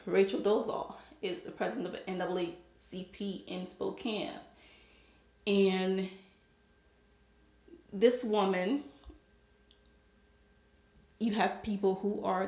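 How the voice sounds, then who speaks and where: Opinionated woman vlogger in an echoey room. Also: mid-range voice. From Liberia. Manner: opinionated, rising to animated emphasis. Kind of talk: casual opinion monologue to camera.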